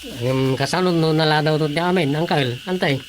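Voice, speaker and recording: A man's voice: a little high, a little fast, natural voice. An actor playing a cautious village councilman who urges seeing a doctor, in a radio drama. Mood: concerned. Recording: radio drama with background noise.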